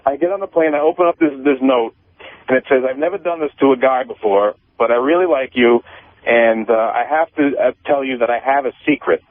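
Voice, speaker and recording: A man's voice: medium pitch, fairly quick. Male caller, tinny telephone sound, loose rambling storyteller, repeats himself, swears freely. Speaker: radio show caller. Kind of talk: casual personal storytelling. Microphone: phone. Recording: tinny phone line.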